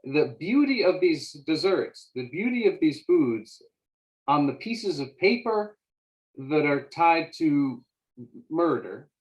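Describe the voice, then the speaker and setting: A man's voice: a little high, big pitch swings, takes it slowly, rough recording. A man moderating a book discussion, asking questions earnestly and often stammering as he restarts his sentences. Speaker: interviewer, moderator. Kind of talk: conversational interview.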